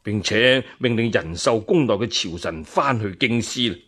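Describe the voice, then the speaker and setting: A man voicing a cautious, scheming court minister in Cantonese, deliberate and formal, in a radio storytelling serial. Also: lowish voice, animated, fairly bright tone, voice pushed down. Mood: decisive, formal.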